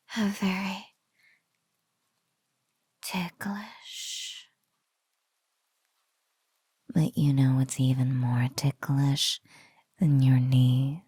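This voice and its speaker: A woman voicing a sultry, smugly menacing spider-woman bully in an intimate ASMR roleplay, breathy and often slipping into whispers, with mock-pitying coos. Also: low-pitched, mellow, no echo, clean studio sound, heavily acted. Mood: playful, mocking.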